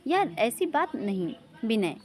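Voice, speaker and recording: Concerned young woman, high and animated narrator's portrayal. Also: takes it slowly. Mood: apologetic. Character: concerned, caring young woman, an old friend.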